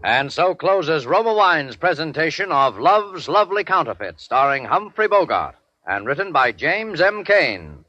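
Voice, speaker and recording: A man's voice: a little high, very animated, unhurried, sharp tone, no echo, lo-fi sound. An American man announcing a 1940s radio drama show.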